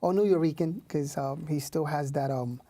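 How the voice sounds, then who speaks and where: A man's voice: a little high, normal speed, mellow, dry recording. A man in his thirties, born in Puerto Rico, talking casually as an interview guest with many fillers and restarts. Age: 30s. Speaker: youth leader.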